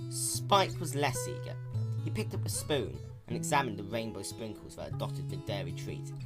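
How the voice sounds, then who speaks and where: A woman narrator reads a scripted story in a dramatic reading style. Her pitch is extremely low for a woman. Plucked guitar music plays clearly underneath.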